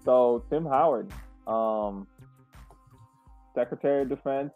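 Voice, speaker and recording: A man co-hosting a casual sports podcast, speaking in a halting, measured way and repeating words, over thin call audio. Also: medium pitch, phone-quality sound, faint music behind. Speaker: podcast co-host.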